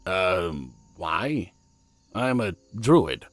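Man playing hesitant, low-voiced wood elf druid. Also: sing-song, drawn-out words, no room sound, full character voice. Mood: unsure. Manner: stammering, hesitant. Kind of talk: fantasy audio drama acting.

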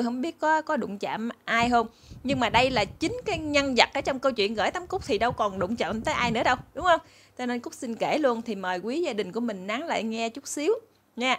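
A middle-aged woman hosts a storytelling vlog in a southern Vietnamese accent. Her voice sits at a medium pitch, and she chats informally, as if talking to family. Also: a bit bright, a little hiss or hum. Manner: chatty, conversational, addressing viewers as family. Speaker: channel host and storyteller. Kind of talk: casual storytelling to followers. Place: small room indoors.

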